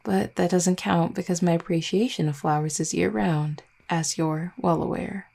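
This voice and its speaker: A woman playing an affectionate, gently teasing vampire girlfriend in an intimate roleplay audio, speaking tenderly and without hurry. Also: lowish voice, fairly even intonation, normal speed, creaky, breathy edge. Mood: thoughtful. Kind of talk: intimate roleplay audio, soft conversational acting.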